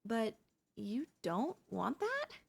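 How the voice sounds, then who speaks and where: A woman playing an obsessive female pop idol turned kidnapper in an ASMR roleplay, speaking in a soft, coaxing, doting way with menace underneath. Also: on the high side, huge pitch swings, drawn-out words, creaky, breathy edge, full character voice. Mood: sweet, coaxing.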